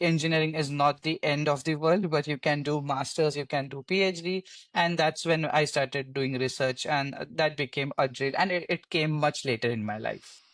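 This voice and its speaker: A man's voice: on the high side, fairly bright tone, no room sound, far from the mic. A young man from India answering interview questions earnestly, often repeating words as he starts a thought. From Mumbai, India. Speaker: podcast guest.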